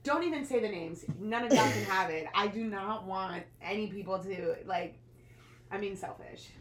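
A woman's voice: medium pitch, moderate pace, fairly bright tone, roomy sound, slight background noise. A young American woman co-hosting a podcast, chatting casually in a talkative, teasing way. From United States. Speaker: podcast co-host. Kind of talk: casual chat.

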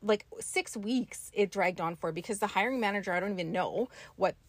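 A soft-spoken woman with a quiet voice, co-hosting a spiritual podcast and telling her own story in casual chat. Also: medium pitch, fairly quick, dry recording. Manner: storytelling, reflective. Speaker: podcast co-host and spiritual teacher. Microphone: podcast mic.

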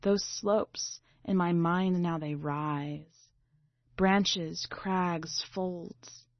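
A woman reading a literary short story aloud in a measured, dramatic way. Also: lowish voice, fairly even intonation. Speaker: story reader. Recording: slightly dull, boxy roomy recording.

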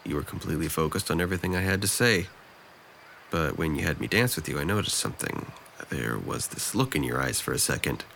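A man acting a depressed, sardonic young man in an audio drama, with a gravelly voice and a dry, hesitant, self-deprecating manner. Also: extremely low pitch, animated, a little fast, bright, forceful tone, a little hiss or hum, full character voice. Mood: questioning. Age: young adult.

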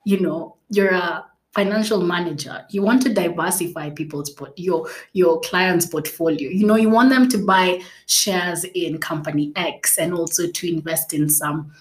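A woman's voice: lowish voice, moderate pace, hardly any pauses. A young woman whose native language is Swahili, hosting a casual solo podcast, chatty and playful with frequent laughs, fillers and restarts. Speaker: podcast host.

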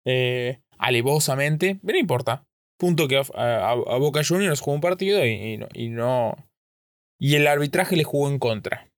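A man's voice: mid-range voice, animated, normal speed, no echo, clean studio sound. A man from Argentina with an Argentine accent, recording a casual solo podcast, thinking aloud in a rambling way and often correcting himself mid-sentence. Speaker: podcast host.

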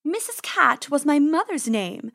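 A woman puts on a haughty lady cat from a children's storybook. The voice is high and smug, with purring, drawn-out words. She is a sly predator toying with her prey. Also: big pitch swings, gravelly, sharp tone, exaggerated voice. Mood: smug, menacing.

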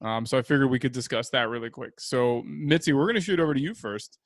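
A man's voice: medium pitch, quick pace, dry recording, studio quality. A man co-hosting a podcast, teasing the others and steering the casual chat over a video call. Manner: teasing, laughs easily. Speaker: podcast co-host.

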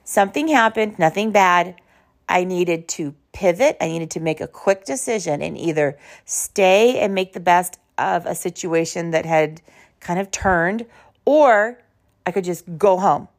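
A woman hosting a podcast in an informal, conversational and emphatic way, telling personal stories in a slightly hoarse voice. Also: lowish voice, lively intonation, unhurried. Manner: conversational, emphatic, uses rhetorical questions. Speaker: podcast host.

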